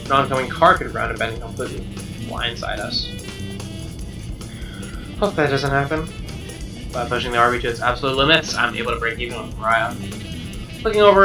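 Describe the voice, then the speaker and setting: A man reading a visual novel aloud as dramatic, expressive narration, with game music behind him. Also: medium pitch. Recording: slightly distant mic under game music.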